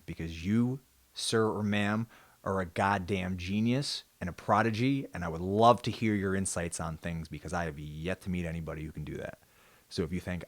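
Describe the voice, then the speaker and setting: A man with a very low, deep voice talks off the cuff as the host of his own show. He rambles and pokes fun at himself, now and then jumping into loud, joking outbursts. The recording is close and dry, with no room sound. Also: dry recording. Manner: rambling, self-mocking, with sudden loud jokey bursts. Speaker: podcast host. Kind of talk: casual solo monologue.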